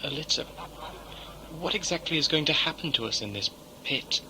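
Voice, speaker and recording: An actor playing a bewildered, worried outsider held prisoner in a sci-fi audio play, anxious and questioning. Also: male voice, fairly high pitch, lively intonation, moderate pace, creaky, heavy background noise. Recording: music underneath.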